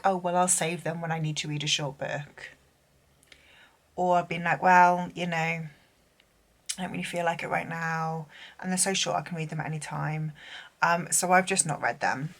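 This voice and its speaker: Low-voiced woman chatting casually. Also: fairly bright tone. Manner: rambling, lots of fillers and restarts. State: losing her voice, tired and unwell. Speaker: book vlogger. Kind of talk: casual chat.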